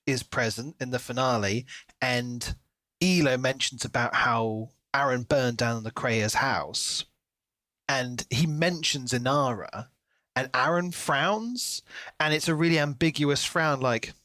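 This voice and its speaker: A man's voice: medium pitch, fairly bright tone, no echo. A man co-hosting a podcast, talking casually through a book and acting out reactions as he retells it. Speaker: podcast co-host.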